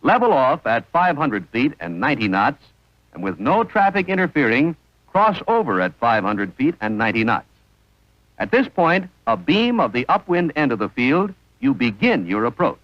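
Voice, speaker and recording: A man's voice: medium pitch, big pitch swings, normal speed, no echo, dull audio. A man narrating an instructional training film in a formal, precise manner on a vintage soundtrack.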